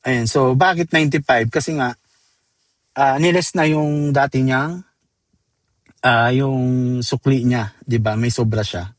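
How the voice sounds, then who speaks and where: A Filipino man presenting a software tutorial step by step in an informal, chatty way, mixing in English terms. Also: medium pitch, fairly even intonation, a little slow, no room sound. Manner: informal, addresses viewers as guys, code-switches into English terms. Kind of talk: casual screen-demo tutorial, step-by-step.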